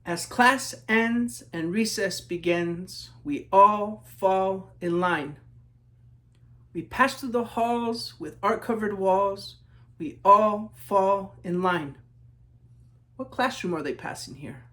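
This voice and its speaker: Slow-paced man reading a children's story. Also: high-pitched, fairly bright tone. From New Mexico, United States. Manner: measured, rhythmic reading with a repeated refrain. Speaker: children's author reading own book. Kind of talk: read-aloud storytelling with questions to young listeners.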